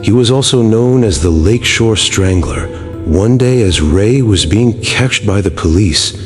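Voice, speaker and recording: A man's voice: fairly low pitch, big-room sound. A man reading a film backstory aloud, stumbling over names and correcting himself. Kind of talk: read-aloud narration.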